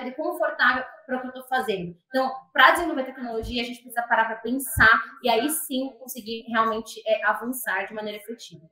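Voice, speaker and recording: Woman guest, high and bright, earnest and reflective, echoey room. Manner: earnest, reflective, many fillers and restarts. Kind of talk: conversational discussion.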